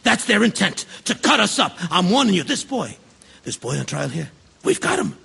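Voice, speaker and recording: A man acting a belligerent, bigoted juror in an audio drama, ranting in a rough, stumbling way. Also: sits high, very animated, normal speed, sharp tone, heavily acted. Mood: furious ranting. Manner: belligerent, ranting, stammering restarts. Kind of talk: stage-style radio drama acting.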